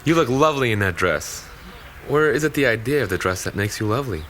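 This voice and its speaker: A man's voice: medium pitch, expressive, fast, fairly bright tone, slight background noise, lightly acted. An actor playing an earnest, thoughtful young man in an audio drama, with courtly phrasing. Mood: warm, courtly.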